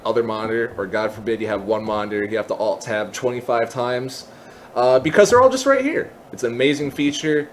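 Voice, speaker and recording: A man hosting an informal software tutorial, explaining step by step and thinking aloud as he goes. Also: fairly low pitch, a little hiss or hum. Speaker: tutorial host. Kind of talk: casual screen-share software tutorial. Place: indoors at a computer, voice-over for screen capture.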